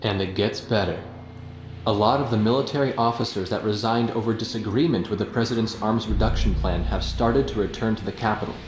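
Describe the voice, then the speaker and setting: An actor playing an earnest, sober war journalist in scripted video game narration and dialogue. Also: male voice, on the low side, a little fast, noisy background, lightly acted. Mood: confiding.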